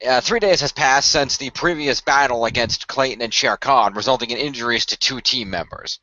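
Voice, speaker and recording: A man's voice: mid-range voice, moderate pace, pushed and bright, no echo. A man hosting and narrating an amateur radio play in an informal podcast style. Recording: dry, dull lo-fi voice track.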